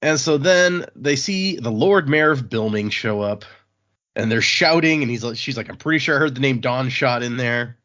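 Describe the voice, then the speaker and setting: A man's voice: mid-range voice, expressive, normal speed, a bit bright, muffled recording. A man hosting a podcast, chatting casually through a discussion of a book. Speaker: podcast host.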